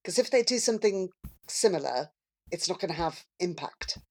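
A woman chatting casually as a podcast panellist, telling things in an animated way. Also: on the low side, moderate pace. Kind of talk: casual chat.

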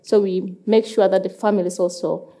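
A woman's voice: medium pitch, fairly even intonation, normal speed, warm tone. A woman on a discussion panel who works in child welfare, talking earnestly and often repeating words as she gathers her thoughts.

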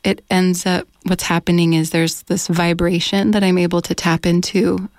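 A woman's voice: on the low side, flat delivery, normal speed, a little mellow, dry recording, studio quality. A woman singer-songwriter in a casual radio interview, chatty, searching for words and laughing often. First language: English. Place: radio studio.

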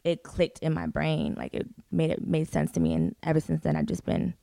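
Young woman, low pitch, gravelly, laid-back chat, lots of fillers, dry sound. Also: very animated, moderate pace, mellow, no room sound. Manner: laid-back, heavy "like" fillers, casual swearing. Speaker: singer, guest. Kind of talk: casual interview chat.

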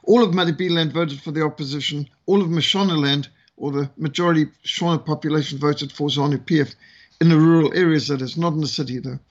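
A man's voice: fairly high pitch, fairly even intonation, fast talker, muffled recording. A man from Zimbabwe talking as a guest commentator, explaining events with dry sarcasm and frequent fillers. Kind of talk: political commentary in conversation.

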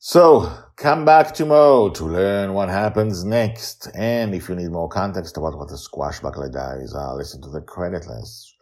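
A man with a gravelly, husky voice narrating a story as its author and host, in a theatrical, emphatic way with dramatic pauses. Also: sits low, a little mellow.

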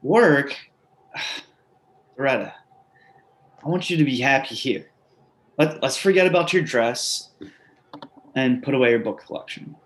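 A man's voice: mid-range voice, lively intonation, a little slow, long pauses, a bit bright. A man in a play reading, playing an anxious, needy man with a stammering, pleading manner. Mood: nervous pleading. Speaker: actor in a staged play reading. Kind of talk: readers theatre acting.

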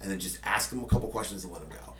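A very deep-voiced man telling stories from his podcasting work, hesitant and full of fillers and restarts. He sounds roomy and set back from the mic, with faint music underneath. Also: very fast, fairly bright tone, recorded from a distance. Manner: rambling, many fillers and restarts. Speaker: podcaster and journalist. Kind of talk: casual conversational interview.

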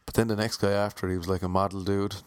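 A man's voice: fairly low pitch, little pitch movement, fast, clear, resonant tone, no echo. A young man with an Irish accent telling wry, self-mocking stories about his auditions in a casual monologue. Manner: wry, self-mocking, playfully imitating others.

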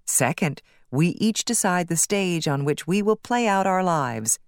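A woman with a low-pitched voice narrates a nonfiction audiobook. Her delivery is measured and articulate, with an instructive tone. The sound is close and dry, with almost no room echo. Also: expressive.

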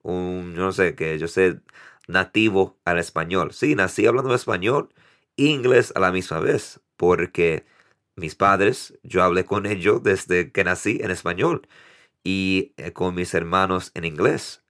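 A man in his thirties from New York hosting a casual solo podcast in Spanish, with an accent mixing Dominican and American English, halting and correcting himself often. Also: deep voice, fairly bright tone. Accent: mix of Dominican Spanish and American English. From New York, United States. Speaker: podcast host.

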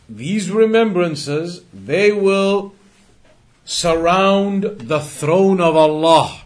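Guy teaching islamic lesson, voice a bit high, emphatic, repeats and rewords stuff as he explains. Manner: emphatic, repeats and rephrases points. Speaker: religious teacher. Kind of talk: Islamic lesson with recited Arabic quotations and English explanation.

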